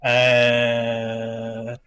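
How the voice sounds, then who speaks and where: A young man with a Liverpool accent talks in an easy, informal way. His pitch is average for a man, and his speech is full of gamer slang, like someone chatting on a stream. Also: completely flat delivery, very slow, a bit bright. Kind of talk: casual chat.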